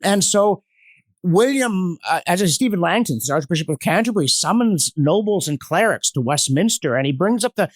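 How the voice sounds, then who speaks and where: Higher-pitched man, emphatic storyteller. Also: expressive, normal speed, no echo. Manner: emphatic, earnest, digressive, acts out quotes. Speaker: guest commentator on history. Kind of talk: animated storytelling in a podcast discussion.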